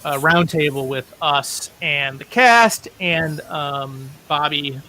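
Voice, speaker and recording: A man's voice: a little high, slow pace, sharp tone. A man speaking as an interview guest, a comics writer casually plugging projects. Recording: faint background hiss.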